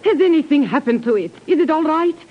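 An actress playing a guarded, world-weary German refugee in an old radio drama, speaking in measured, formal phrases. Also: female voice, high voice, lively intonation. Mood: anxious. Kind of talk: 1950s radio drama acting. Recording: noisy, dull old radio recording.

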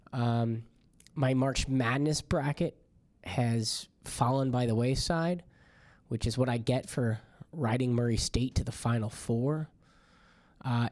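A hoarse young man hosting a podcast in a casual, rambling monologue that turns exasperated. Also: mid-range voice, a little mellow, no echo. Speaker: podcast host.

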